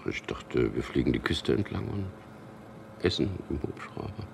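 An actor in a German radio drama playing a smooth-talking propagandist who tells and acts out his own story in an earnest way. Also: a bass voice, big pitch swings, normal speed, noisy background, full character voice. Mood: gentle, probing.

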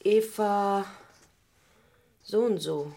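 An actress playing an inquisitive, persistent young granddaughter in a radio drama, eager and probing. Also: female voice, mid-range voice, very slow, dark tone, slight background noise. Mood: curious, insistent.

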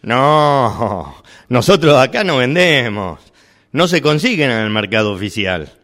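A man's voice: mid-range voice, big pitch swings, measured pace, fairly bright tone. A man playing a weary shopkeeper who sells worlds, speaking in an amateur radio drama rehearsal. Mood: matter-of-fact. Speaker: workshop radio drama actor.

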